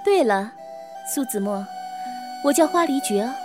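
A woman's voice: a little high, animated. A woman playing a bold, playful young female assassin who teases coquettishly in a Chinese period audio drama. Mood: teasing. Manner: coquettish, teasing, drawn-out. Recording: background music under the voice.

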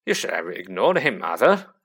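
A man voicing a huge, gruff, kindly giant of a man in a storybook reading, loud and forceful. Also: low voice, big pitch swings, normal speed, voice pushed down, heavily acted.

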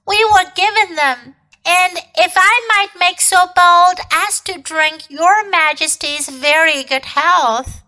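A woman's voice: very high voice, slow, hardly any pauses, bright, forceful tone, pitched above the performer's own voice, heavily acted, low-quality recording. A woman voicing a terrified old fox in a storybook reading, humbly polite and faltering. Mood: nervous, pleading. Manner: deferential, stammering.

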